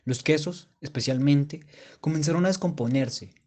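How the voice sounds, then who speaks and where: A man's voice: fairly high pitch, moderate pace, darkish tone, natural voice. A man playing a mature German war veteran reminiscing in a radio play. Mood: wistful reminiscing. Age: middle-aged. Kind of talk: radio theatre storytelling.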